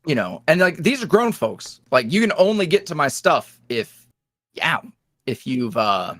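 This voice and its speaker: A young man speaks candidly as an interview guest, his voice moving through a wide, lively pitch range. The sound is dry and close, with no room around it. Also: measured pace, no echo. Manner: candid, animated, jumps up in pitch when quoting others. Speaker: guest, ASMR roleplay creator. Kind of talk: casual interview chat.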